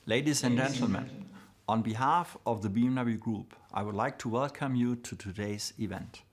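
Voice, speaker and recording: A male executive reads prepared opening remarks, formal and deliberate in every phrase. His voice sits at an everyday pitch, steady and businesslike. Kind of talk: read prepared speech.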